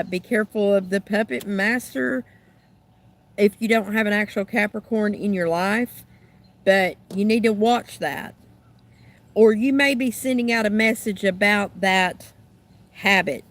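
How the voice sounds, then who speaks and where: A woman doing a tarot card reading, thinking aloud in musing, trailing phrases, her voice a little rough and raspy from being sick. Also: mid-range voice, slight background noise. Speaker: tarot reader.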